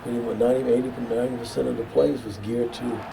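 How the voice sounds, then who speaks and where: A man with a very dark, gravelly voice speaks as a coach at a press conference. He is picked up from a slight distance. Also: medium pitch, flat delivery, fast. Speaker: basketball coach. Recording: distant mic, faint background noise.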